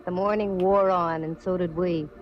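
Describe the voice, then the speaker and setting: An actress playing a breezy, self-assured, hard-boiled private detective in 1940s radio style. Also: female voice, fairly low pitch, steady tone, normal speed, loud music behind the voice, natural voice. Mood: wry narrating. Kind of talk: 1940s radio detective acting, narration and dialogue. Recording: muffled, noisy old radio recording.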